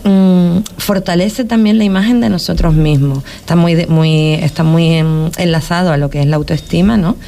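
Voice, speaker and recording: A woman's voice: lowish voice, moderate pace, gravelly, few pauses, mellow. A woman from Spain hosting a local radio show, talking in a thoughtful casual way with many restarts and tag questions. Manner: thoughtful, repeats words and adds tag questions.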